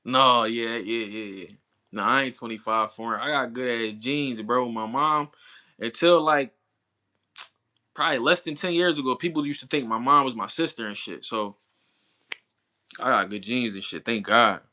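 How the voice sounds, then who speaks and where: Laid-back man in his thirties, bright voice. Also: medium pitch, moderate pace. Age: 30s. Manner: laid-back slangy talk, answering chat, laughing often. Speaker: livestream boxing commentator. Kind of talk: casual chat with live chat viewers.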